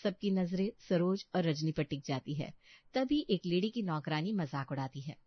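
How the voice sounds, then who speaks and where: A woman's voice: on the low side, expressive, moderate pace, breathy edge, no echo, highs cut off. A woman reading a scripted story as narrator.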